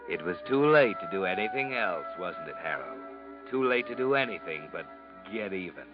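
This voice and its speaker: A man's voice: deep voice, big pitch swings, normal speed. An actor playing an ominous, mocking, all-knowing narrator in a 1940s radio noir, insinuating as he addresses a character directly. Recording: muffled vintage radio recording, music behind.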